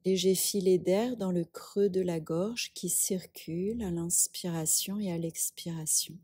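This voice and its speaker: Slow, breathy woman guiding meditation. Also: lowish voice, no room sound, studio quality. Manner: calm, unhurried, instructing in short phrases with long pauses. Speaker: yoga nidra teacher. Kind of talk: guided meditation.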